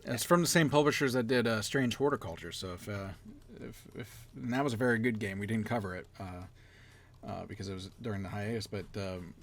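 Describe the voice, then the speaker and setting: A man's voice: fairly low pitch, brisk, creaky. A man hosting a casual podcast, rambling and correcting himself, laughing easily as he talks. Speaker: podcast host.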